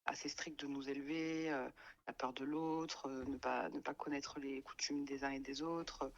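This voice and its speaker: A woman's voice: very deep voice, fairly even intonation, moderate pace, lo-fi sound. A woman in her late thirties from France, telling her life story with many hesitations, fillers and repeated words. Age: 30s. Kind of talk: hesitant personal testimony. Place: radio studio.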